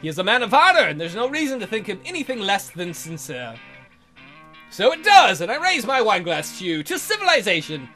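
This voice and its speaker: Goofy lively man reading a text game. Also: high voice, a little fast, soft background music. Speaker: let's play host.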